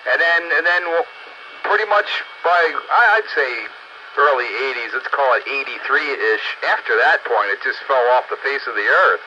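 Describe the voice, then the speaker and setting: A man's voice: mid-range voice, animated, normal speed, sharp tone. A man giving his opinions in casual chat, emphatic and often correcting himself. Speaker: participant in a hobby radio round-table. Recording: lo-fi radio link with faint noise.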